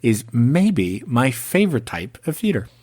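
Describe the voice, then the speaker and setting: Young man, podcast host, playful jokey manner, very lively pitch, casual chat. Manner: playful, jokey, quick asides.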